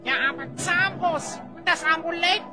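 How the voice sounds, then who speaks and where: A woman playing a small, excitable, eager animal sidekick in a children's fantasy audio drama. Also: very high-pitched, moderate pace, music in the background. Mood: eager, curious.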